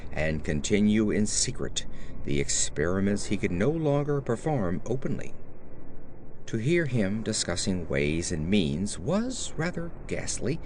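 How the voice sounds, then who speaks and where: A man narrating a scripted horror story in a measured, deliberate, formal way. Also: lowish voice, darkish tone, slight background noise. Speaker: audiobook narrator.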